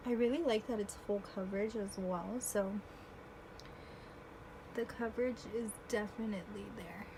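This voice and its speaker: A woman in her twenties chatting casually through a makeup tutorial, self-deprecating and often clearing her throat, recorded on her phone at home. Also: mid-range voice, normal speed, background noise. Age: 20s. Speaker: beauty vlogger. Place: home room with air conditioner. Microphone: phone camera.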